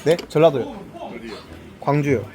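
A man's voice: on the high side, unhurried, a crowd in the background. A man hosting a casual food vlog, eating in a restaurant and exclaiming over the dishes. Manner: excitable, exclaims often. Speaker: food vlogger host. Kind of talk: casual mukbang chat. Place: busy restaurant. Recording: loud background music.

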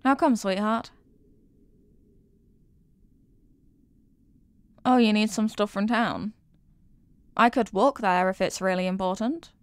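A woman's voice: medium pitch, normal speed, no room sound, lightly acted. Doting, possessive girlfriend, acted by a woman, close and dry. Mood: caring, earnest. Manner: tender, cooing, breathy sighs and pet names. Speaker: ASMR roleplay voice actor. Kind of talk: intimate girlfriend ASMR roleplay.

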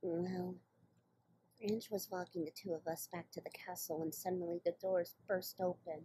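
A woman's voice: fairly low pitch, steady tone, moderate pace, dark tone, natural voice, poor audio. A woman voicing an earnest, knowledgeable young pony mare in a fan cartoon. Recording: faint noise, played-back web video.

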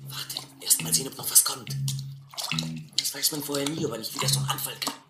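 An actor playing a tough, streetwise young drifter with a blunt, slangy manner in a German radio play. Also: male voice, mid-range voice, quick pace, gravelly, lightly acted. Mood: cool, instructing. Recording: roomy, noisy old radio play.

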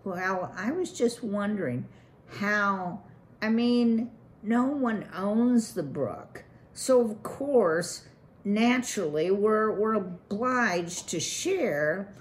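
A woman's voice: medium pitch, expressive, extremely slow, pitched above the performer's own voice. A woman reading a storybook, voicing a timid, polite old male rodent who hesitates and stammers. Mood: nervous.

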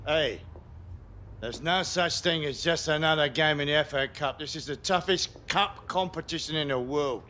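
A man playing a gruff, caring old grandfather and former footballer in a video game, with an instructive manner. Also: on the high side, normal speed, sharp tone, slight background noise. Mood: stern. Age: older. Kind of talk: acted video game dialogue.

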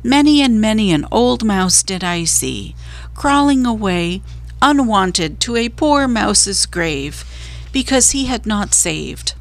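A woman voicing a miserly male storybook mouse in a high, pitiful, melodramatic voice with flowery phrasing. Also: big pitch swings, a little slow, vocal fry. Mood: tearful, grandiose. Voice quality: high, pitiful, choked with emotion. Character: whiny, theatrical, miserly male mouse, self-pitying.

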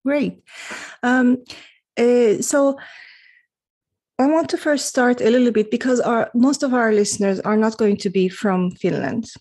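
A woman's voice: a little high, measured pace, darkish tone. A woman hosting a podcast interview, asking her guest questions in a friendly, hesitant way, with many fillers. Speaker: podcast host.

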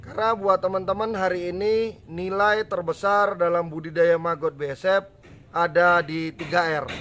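A man's voice: high-pitched, a bit bright, a little hiss or hum. A young man talking to camera in a casual promotional vlog, earnest and persuasive, inviting viewers to come and learn. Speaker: farm owner giving a promo talk. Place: outdoors by a garden fence.

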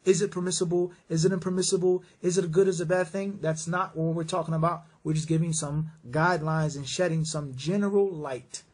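Guy with a high raspy voice doing islamic q&a advice, stresses stuff by repeating it, drops arabic phrases in. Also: steady tone, brisk, hardly any pauses. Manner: mixes in Arabic religious phrases. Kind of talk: religious advice, question and answer talk.

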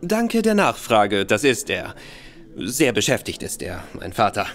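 A man's voice: on the low side, huge pitch swings, a little slow, gravelly, fairly bright tone, exaggerated voice. An actor playing a bitter, tipsy young nobleman who rambles spitefully in a radio play. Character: Sebastian Conroy, young nobleman, bitter, drinking, boastful.

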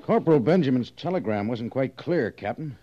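Fast-talking army major, played by a man. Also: mid-range voice, expressive, warm tone, natural voice. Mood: skeptical, brisk. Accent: American. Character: crisp, skeptical army major in command. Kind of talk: 1950s radio western acting. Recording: dull old-time radio recording.

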